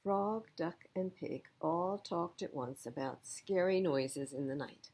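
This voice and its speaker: A woman reading a picture book aloud to children as an expressive storyteller. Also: lowish voice, slow pace, a little hiss or hum.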